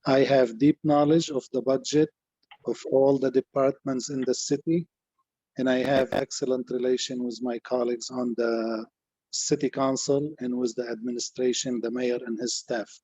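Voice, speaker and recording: A man delivers a formal candidate statement in deliberate, halting phrases. His voice is husky and mellow with a flat, even melody, recorded dry but slightly dull. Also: mid-range voice, dry recording. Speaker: city council candidate.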